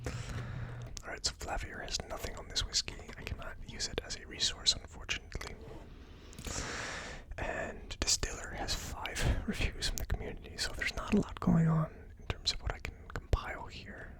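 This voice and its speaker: A person whispering in a breathy, unvoiced ASMR style, casually murmuring through notes as the host of a tasting video. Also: medium pitch, huge pitch swings. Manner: slow, murmured word-by-word listing while typing notes.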